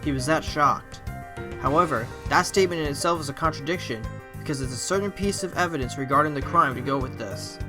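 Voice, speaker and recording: A man playing an earnest, confident fox defence lawyer in an audio drama, reasoning aloud deliberately. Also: on the high side, natural voice. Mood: confident, assertive. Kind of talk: audio drama courtroom acting. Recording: prominent music under the voice.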